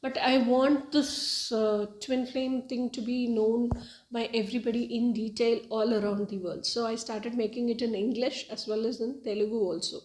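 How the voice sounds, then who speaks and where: A woman's voice: on the high side, fairly even intonation, normal speed, a little mellow. A woman whose first language is Tamil, hosting her own spiritual channel and talking in an earnest, hesitant way with many fillers. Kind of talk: casual video monologue. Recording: echoey room.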